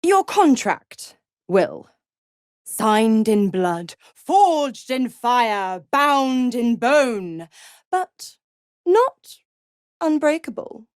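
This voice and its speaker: A seductive, scheming female devil from a video game. She takes her time, savoring each phrase with mocking, silky condescension, and her pitch swoops theatrically. The sound is close and dry. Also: big pitch swings, very slow, dry recording, exaggerated voice. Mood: commanding, theatrical. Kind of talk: video game character acting.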